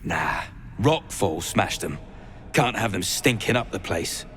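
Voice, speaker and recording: A man's voice: low-pitched, expressive, slow pace, a bit bright, a little hiss or hum, heavily acted. A man voicing an irritable dwarf overseer in a video game, curt, coarse and dismissive. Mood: surly, annoyed. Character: surly, irritable male duergar digging overseer. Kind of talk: video game character acting.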